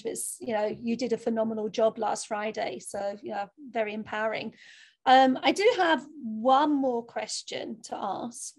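A woman moderating a student panel discussion in an online webinar. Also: on the high side.

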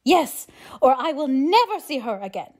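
A woman's voice: high voice, big pitch swings, normal speed, a little mellow, pitched above the performer's own voice. A woman voicing a flustered, pleading middle-aged mother in an audiobook, agitated, exclamatory and insistent. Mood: indignant. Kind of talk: audiobook character acting.

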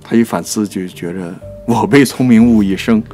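A man's voice: fairly low pitch, normal speed, dark tone, soft background music. A man co-hosting a poetry programme, discussing literature in a reflective, conversational way in short phrases. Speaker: producer and co-host of a poetry programme.

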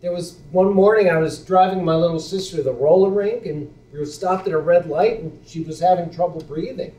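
A man's voice: sits high, brisk, warm tone, lightly acted. A young man on a small theater stage playing a skeptical, blunt best friend with a sentimental side, talking in a dry, sardonic way. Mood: heartfelt. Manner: dry, sardonic, then heartfelt storytelling. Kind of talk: live stage acting. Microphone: far room mic. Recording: roomy theater sound from far off.

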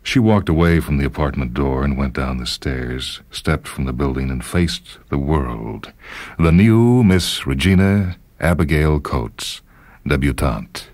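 Very deep-voiced male story narrator. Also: expressive, unhurried, darkish tone, no room sound. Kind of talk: dramatic literary story narration. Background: music.

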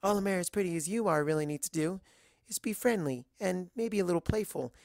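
A narrator voicing a friendly, chatty waitress pony who gently reassures and encourages in a read-aloud story. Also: mid-range voice, brisk, vocal fry, no echo, clean studio sound, voice pushed up, close to an everyday voice. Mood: reassuring, chatty.

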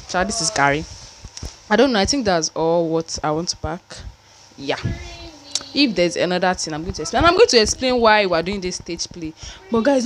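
Young woman, Nigerian accent, lowish voice, chatty casual vlog, noisy recording. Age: young adult. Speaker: student vlogger. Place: small room at home. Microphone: small clip-on mic held near mouth.

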